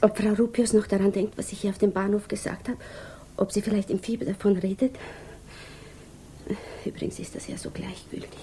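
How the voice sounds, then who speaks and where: A woman's voice: medium pitch, level tone, brisk, warm tone, in a whisper, natural voice. An actress playing an anxious, brooding young widow, voicing her obsessive thoughts in a 1950s radio drama. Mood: fretful. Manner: murmured inner monologue with urgent outbursts. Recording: faintly hissy 1950s radio recording.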